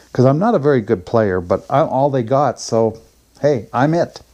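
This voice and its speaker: A man's voice: medium pitch, mellow. An older man chatting casually in a daily vlog, with a folksy, rambling, self-deprecating way of talking. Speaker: vlogger.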